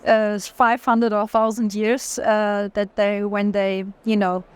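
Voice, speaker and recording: A woman's voice: medium pitch, level tone, slow pace, clear, resonant tone. A woman in an unscripted walking conversation about art, searching for words and rephrasing as she goes. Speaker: art collector in a gallery conversation. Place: art fair.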